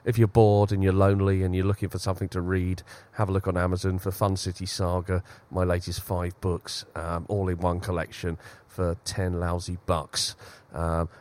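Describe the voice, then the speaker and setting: A man's voice: low-pitched, completely flat delivery, normal speed, hardly any pauses, a little mellow. A man, an author, chatting hesitantly and mocking himself on a casual podcast. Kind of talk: casual chat.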